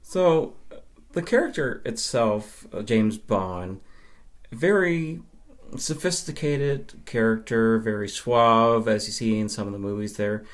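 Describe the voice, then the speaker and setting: A man with a fairly low voice talks as a guest on a podcast. He explains things conversationally, in measured phrases broken by pauses. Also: lively intonation. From Nova Scotia, Canada. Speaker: podcast guest, film fan. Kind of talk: conversational interview answers.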